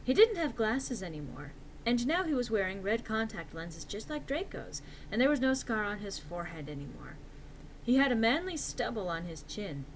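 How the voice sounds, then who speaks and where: A woman's voice: a little high, very animated, normal speed, a little hiss or hum, rough recording. A woman reading a story aloud as narrator in a theatrical, mock-serious and emphatic comic style.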